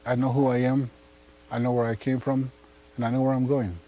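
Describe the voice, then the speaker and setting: Earnest, halting man with mellow voice. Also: medium pitch, flat delivery, poor audio. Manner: halting, repeats words, earnest. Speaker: school helping professional. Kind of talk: documentary interview testimony.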